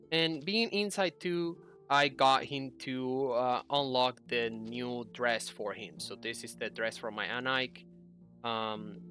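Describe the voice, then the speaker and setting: Young male gaming host, bright forward voice, casual explanatory delivery with some hesitation, music behind. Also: mid-range voice. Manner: hesitant, explanatory, self-correcting. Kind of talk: casual game guide commentary. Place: indoor room at a computer.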